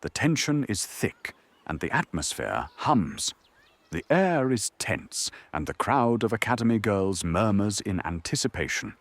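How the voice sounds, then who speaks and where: A man with a gravelly, husky voice giving dramatic scripted narration for an audio drama. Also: on the low side, big pitch swings, measured pace.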